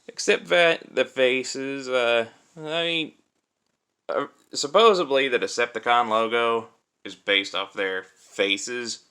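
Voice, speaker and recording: A man's voice: mid-range voice, slow pace, pushed and bright, clear, resonant tone. A man giving a casual hands-on product review in an informal, conversational way, thinking aloud as he demonstrates. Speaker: toy reviewer and host.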